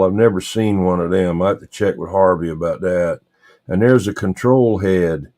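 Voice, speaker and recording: A man's voice: low-pitched, a little mellow. A man hosting a casual, rambling livestream, muttering to himself in a folksy way as he talks. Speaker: livestream host showing vintage radios.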